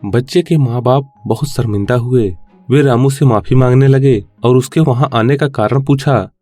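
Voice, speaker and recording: A man's voice: mid-range voice, moderate pace, a little mellow, no echo. Male story narrator over music. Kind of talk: scripted children's story narration.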